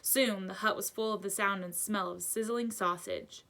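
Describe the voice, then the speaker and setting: A woman teacher reading a children's story aloud with expressive narration. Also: medium pitch, normal speed.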